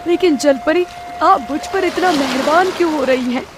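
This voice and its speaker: A woman's voice: sits high, normal speed, background noise, close to an everyday voice. A woman voicing a poor, kind-hearted village husband in a cartoon moral story, humble, earnest and plaintive. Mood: puzzled.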